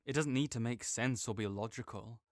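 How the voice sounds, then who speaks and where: A man's voice: mid-range voice, a little fast, no echo, clean studio sound. Playful, reassuring young man. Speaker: audio roleplay performer as a friend. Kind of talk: audio roleplay, comforting friend.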